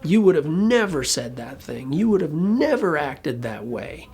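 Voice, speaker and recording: A presenter giving an informal explainer talk in a colloquial, emphatic way, with a gravelly, husky voice. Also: mid-range voice, sing-song, a little slow, darkish tone. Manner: colloquial, emphatic, occasionally swearing. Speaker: presenter explaining relationship conflict.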